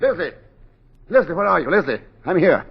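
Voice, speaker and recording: An actor in a 1940s radio drama playing an anxious, devoted plantation husband, urgent and pleading. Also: male voice, fairly high pitch, big pitch swings, a little fast, gravelly, slightly rough, heavily acted. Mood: urgent, worried. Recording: muffled 1940s radio recording with music.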